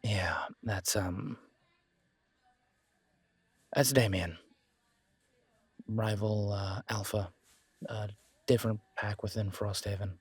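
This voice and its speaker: A young werewolf alpha acted by a man for an audio roleplay, loyal and protective with a wry edge. The voice is husky and unhurried, with hesitant pauses, recorded close and dry. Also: on the low side, fairly even intonation, no room sound. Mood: wary, resigned.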